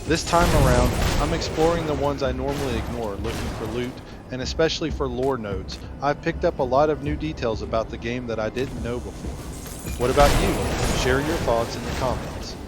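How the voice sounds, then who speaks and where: A man's voice: medium pitch, moderate pace, very noisy. A man giving casual, explanatory game walkthrough commentary, speaking directly to viewers. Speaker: gameplay video narrator.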